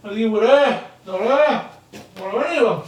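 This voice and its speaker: A man's voice: extremely high pitch, animated, moderate pace. A male actor playing a weary, hurt, loving husband in a stage drama. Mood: hurt, accusing. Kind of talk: theatrical stage acting. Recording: very echoey theatre, distant mic.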